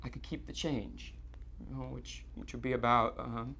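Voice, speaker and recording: Halting, stammering, guilt-ridden man played by an actor. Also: mid-range voice, flat delivery, vocal fry, fairly bright tone. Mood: hesitant, recounting. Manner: halting, stammering, repeating himself. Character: Bobbie, distraught guilt-ridden man confessing to a woman. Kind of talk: live theatre monologue acting. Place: theater stage.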